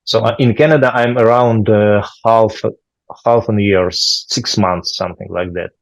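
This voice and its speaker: A middle-aged man from Ukraine, a musician answering interview questions in halting with many fillers and restarts. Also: fairly low pitch, fairly even intonation, dry recording, rough recording. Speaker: musician guest.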